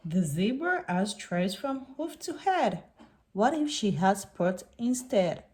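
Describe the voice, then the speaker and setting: A woman reading a picture book aloud to young children in an expressive way, putting on animal sounds. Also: medium pitch, slow, slight background noise.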